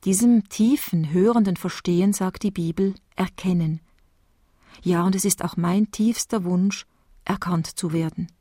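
A woman from Basel with a Swiss German accent, calmly reading a meditative sermon. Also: on the low side, no echo, clean studio sound. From Switzerland, Basel. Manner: calm, measured, reflective reading. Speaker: preacher giving a spoken devotion.

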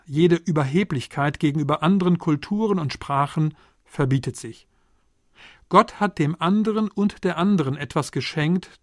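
A man preaching a measured, earnest Pentecost sermon, asking rhetorical questions as he goes. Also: a little high, no room sound, clean studio sound. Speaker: preacher, theology lecturer.

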